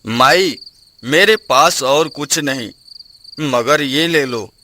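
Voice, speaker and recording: A man's voice: a little high, slow, bright, forceful tone, a little hiss or hum. A man playing a humble, weary and kind poor farmer in a moral storybook tale, meek and earnest. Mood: gentle earnest. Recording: music behind the voice.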